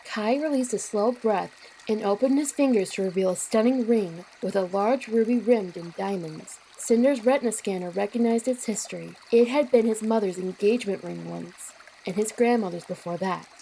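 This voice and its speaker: A woman's voice: mid-range voice, moderate pace, dark tone, low-quality recording. A woman reading scripted story narration as the narrator of an audio drama. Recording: clear noise with water sounds behind. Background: trickling water ambience.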